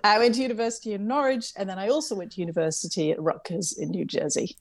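A woman's voice: mid-range voice, fast talker. A middle-aged Englishwoman with a slightly mid-Atlantic English accent, talking reflectively as a guest in an interview and laughing lightly at herself. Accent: English, with some American influence. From England. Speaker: interview guest, camp CEO. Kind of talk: conversational interview.

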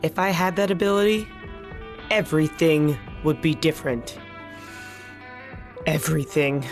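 A woman playing a dry-witted, dependable activist in an audio drama, with a deadpan delivery. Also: deep voice, unhurried, faint music behind. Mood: wry. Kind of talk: naturalistic audio drama acting.

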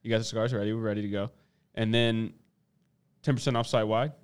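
A man co-hosting a casual livestream, running through updates with relaxed banter. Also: lowish voice, fast, clear, resonant tone. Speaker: co-host of a brand livestream.

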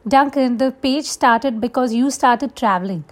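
A woman's voice: on the high side, moderate pace. A woman hosting a podcast, asking polite questions that sound scripted. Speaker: podcast host.

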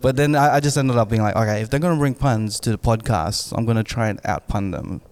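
A man's voice: on the low side, fast talker, darkish tone, clean tone. Male podcast co-host, jokey, loose, laughs a lot. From Australia. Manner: jokey, punning, laughs often. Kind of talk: casual podcast banter.

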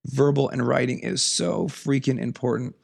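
Man, podcast host, hoarse from a cold, heavy vocal fry, earnest and emphatic. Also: normal speed. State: raspy from a cold. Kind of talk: solo podcast monologue. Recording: lower audio quality.

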